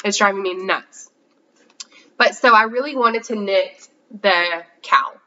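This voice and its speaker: Congested, chatty female host, bright forceful tone, slightly dull lo-fi audio. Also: mid-range voice, takes it slowly. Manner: chatty, rambling, many fillers. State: congested from a cold. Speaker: podcast host. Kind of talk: casual chat showing yarn haul.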